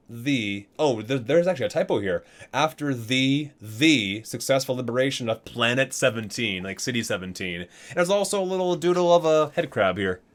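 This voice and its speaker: A man with a mid-pitched voice reads aloud in an ASMR style. His intonation is very lively, moving across a wide range. He gives titles a theatrical emphasis and adds casual, muttered comments as a host would. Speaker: video host reading a game guidebook.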